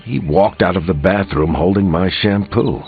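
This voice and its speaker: An actor playing a proud, stubborn superhero in a video game, speaking with aggrieved seriousness. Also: male voice, sits low, normal speed. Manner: indignant, petty seriousness. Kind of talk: video game dialogue acting. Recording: thin phone-like game audio, music, birdsong.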